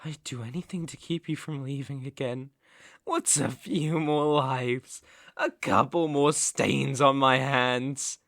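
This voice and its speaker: A gravelly British mafia boss, acted by a man for an ASMR roleplay, close to the mic in a very dry space. He is possessive and unstable, speaking with brooding, condescending affection and a creaky edge. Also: medium pitch, fairly even intonation, slow pace, a bit bright, no echo. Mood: cold, possessive. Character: possessive, unstable male mafia boss, menacingly tender ex-lover. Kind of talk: ASMR roleplay, dramatic monologue.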